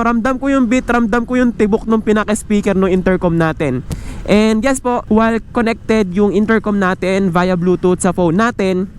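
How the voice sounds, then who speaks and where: A Filipino man with a high-pitched voice chats to his viewers in a casual vlog style, slipping between his language and English. He is picked up on a helmet mic, with faint road and vehicle noise around him. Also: a little mellow. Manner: chatty, addresses viewers directly. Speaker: motorcycle vlogger and gear reviewer. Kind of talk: casual vlog review mixing Tagalog and English. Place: outdoors, riding a motorcycle on the road. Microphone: microphone clipped inside a helmet.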